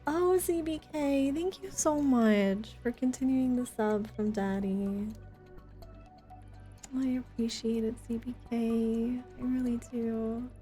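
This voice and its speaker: A woman's voice: fairly high pitch, slow, mellow, soft background music. A woman chatting casually with her viewers while livestreaming a video game, in a chatty, giggly manner.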